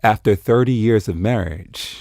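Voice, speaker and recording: Low-voiced man in casual discussion. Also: normal speed, vocal fry, mellow, no echo, clean studio sound. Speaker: panelist discussing a play.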